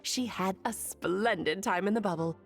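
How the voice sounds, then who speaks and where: A woman voicing an ageless, cheerful and wise witch who speaks in a lilting, gently teasing way. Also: medium pitch, normal speed, faint music behind, close to an everyday voice. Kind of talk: video game voice acting.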